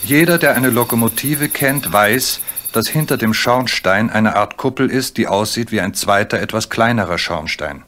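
Low-voiced male storyteller. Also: moderate pace, hardly any pauses, a bit bright. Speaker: storyteller, narrator. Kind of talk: scripted children's radio play narration. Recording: faintly noisy, cricket chirps behind.

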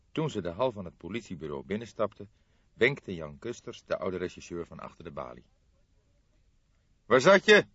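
A man's voice: low voice, breathy edge, no room sound, dull audio. A man narrating a scripted story as an audiobook reader.

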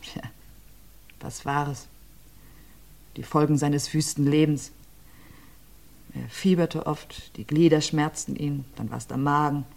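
An actress playing an abused widow being questioned about her husband's death, with hesitant, halting answers that often sink to a near whisper. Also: fairly even intonation, creaky, a little mellow, full character voice. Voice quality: low, subdued, often drops to a near whisper. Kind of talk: radio crime play.

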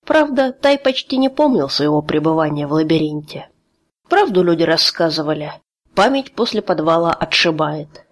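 A woman reading a fantasy audiobook aloud in a steady, expressive way. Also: deep voice, normal speed. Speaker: audiobook reader.

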